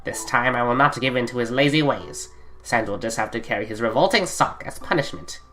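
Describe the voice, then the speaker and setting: A woman's voice: extremely low pitch, animated, brisk, a bit bright, faint music behind. A woman playing a fussy, pompous male skeleton, declaiming in exasperation for a fan comic dub. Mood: exasperated.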